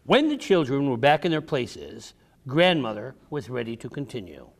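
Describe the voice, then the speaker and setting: A man reading his own book aloud to children, in a dramatic, deliberate storytelling style with pauses. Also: medium pitch, very animated. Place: library, reading to a group of children.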